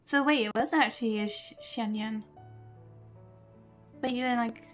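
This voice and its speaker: Girl streamer chatting casually over game music, slow pace, bit high pitched. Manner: chatty, musing reactions. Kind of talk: casual live gameplay commentary.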